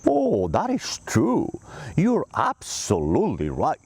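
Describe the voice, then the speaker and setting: A man reading as a courteous senator, very lively pitch. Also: slow pace, husky, dry recording, heavily acted. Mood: delighted. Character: polite, formal older male senator. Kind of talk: read-aloud of a children's book.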